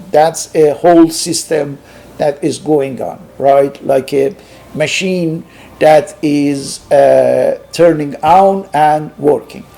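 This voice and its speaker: Man, Persian accent, lecturer, slow and halting, mellow gravelly voice. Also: fairly high pitch. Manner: halting, many pauses and filler sounds. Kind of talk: unscripted lecture.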